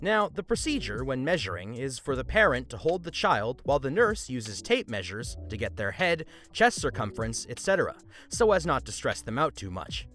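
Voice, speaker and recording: A man hosting a story channel, reading online stories aloud and adding his own comments in an animated way. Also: normal speed. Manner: animated, swings pitch for emphasis. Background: faint background music.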